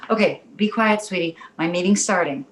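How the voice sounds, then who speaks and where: A woman playing the exasperated, placating mother of a talking baby in an animated comedy table read, recorded in a roomy space. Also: on the low side, moderate pace, a little hiss or hum, natural voice. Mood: brisk, polite.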